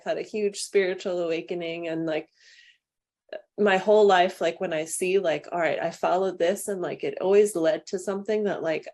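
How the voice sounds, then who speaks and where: A young woman hosting a podcast, talking in a gentle, affirming way and laughing easily. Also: on the low side. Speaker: podcast host. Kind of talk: casual conversation.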